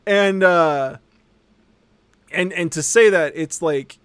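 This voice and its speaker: A man hosting a livestream, rambling casually in an animated, sarcastic, sweary storytelling way. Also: a little high, takes it slowly, clear, resonant tone, no room sound. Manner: acts out mocking quotes. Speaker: livestream host.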